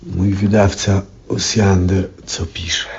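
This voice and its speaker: An actor playing an ailing, elderly astronomer in a 1970s radio drama, weary yet stubborn, with a husky, breathy voice and solemn, halting delivery. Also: male voice, sits low, completely flat delivery, a little mellow, a little hiss or hum. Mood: weak, weary. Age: older. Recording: dull vintage radio recording.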